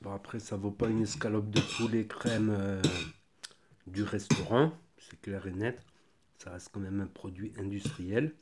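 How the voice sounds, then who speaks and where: A man with a stuffy cold talks through a food tasting at his kitchen table. His voice sits a little low, and he keeps a loose, thinking-aloud manner full of small fillers. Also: unhurried, darkish tone, a little hiss or hum. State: has a cold. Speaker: food reviewer. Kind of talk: casual product tasting review.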